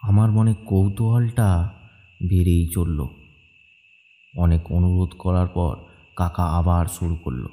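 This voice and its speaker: Low-voiced man narrating horror. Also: slow, pauses often, warm tone. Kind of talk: dramatic first-person horror story narration.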